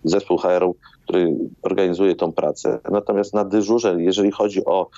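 Very deep-voiced man, hesitant. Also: little pitch movement, moderate pace, dark tone. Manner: hesitant, repeats words as he explains. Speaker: doctor, hospital chief interviewed as guest. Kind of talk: live radio interview answers. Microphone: video call. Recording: dull, roomy video-call sound.

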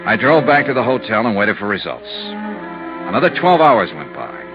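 An actor playing a cool, shrewd insurance investigator in a 1950s radio drama, terse, measured and matter-of-fact. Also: male voice, fairly low pitch, sing-song, vocal fry, over music. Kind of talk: 1950s radio drama, hard-boiled narration and dialogue. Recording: muffled 1950s radio recording.

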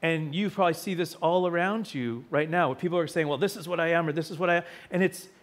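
A man with a sore, hoarse throat preaching from a lectern to a small congregation, his voice sitting a little high for a man. He slips into animated quoted voices while telling a story, then settles into plainer teaching. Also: quick pace. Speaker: pastor. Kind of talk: sermon. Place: small church hall with seated congregation. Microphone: lectern mic, far camera.

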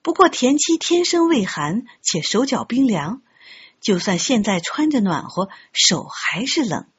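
A woman narrating an audiobook story in an expressive, scripted storytelling style. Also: fairly high pitch, measured pace, breathy edge, dull audio. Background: background music.

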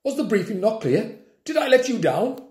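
A man's voice: high-pitched, very animated, normal speed, a little mellow, voice pushed up. A man reading a storybook aloud, voicing a confused elderly man who talks in a stammering, old-fashioned formal way. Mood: bewildered. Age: older. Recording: roomy echo.

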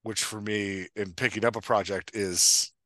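An American man in his forties chatting casually as a podcast host, telling stories in an animated way and voicing his own thoughts. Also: fairly low pitch, moderate pace, dry recording. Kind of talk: casual Q&A chat. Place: indoor room at home, on a video call. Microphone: headset microphone.

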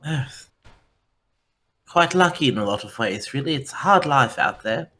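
A man voicing a showy, flattering female satyr performer in a tabletop game. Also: mid-range voice, moderate pace. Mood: boastful. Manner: smooth-talking, showy, fawning.

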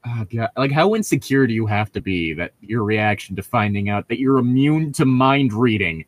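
A man's voice: medium pitch, expressive, moderate pace, no echo. A man giving casual, critical commentary as a podcast co-host, explaining his points as he goes. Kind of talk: casual commentary on a book being read aloud.

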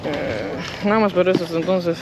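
A man's voice: expressive, a little fast. A young man vlogging at an outdoor flea market, haggling and chatting casually. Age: young adult. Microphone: action camera on the speaker. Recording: noisy outdoor market, vehicle rumble.

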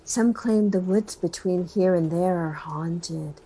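A woman's voice: lowish voice, takes it slowly, mellow, slightly rough, rough recording. A woman playing a chatty small-town niece reminiscing about her uncle in a folksy, rambling documentary interview. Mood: eerie storytelling. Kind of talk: acted documentary interview, conversational.

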